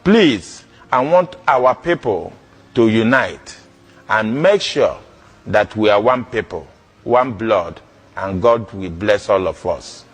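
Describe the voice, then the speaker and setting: A man with a Nigerian accent making a deliberate, exhortative public address and repeating his phrases. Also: fairly low pitch, lively intonation, breathy edge, big-room sound. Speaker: community speaker. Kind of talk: public address calling for unity.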